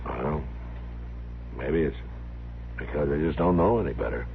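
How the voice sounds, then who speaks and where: A deep, gravelly frontier marshal. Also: male voice, moderate pace. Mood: calm, reassuring. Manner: laconic, plain-spoken. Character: calm, firm frontier marshal, grown man. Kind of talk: 1950s western radio drama acting. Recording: noisy lo-fi old radio recording.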